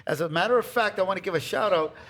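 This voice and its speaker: High-voiced playful man hosting a panel. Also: fast, slight background noise. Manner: playful, teasing, animated with audience. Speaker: host moderating a panel. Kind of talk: casual live panel moderating. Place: live event in a public library.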